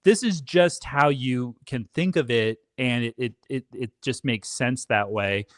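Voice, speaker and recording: A man bantering casually in a medium-pitched voice. He jokes in a tongue-in-cheek, mock-defensive way, and the sound is dry with very little room. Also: unhurried, dry recording. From Canada. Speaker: guest on a live stream.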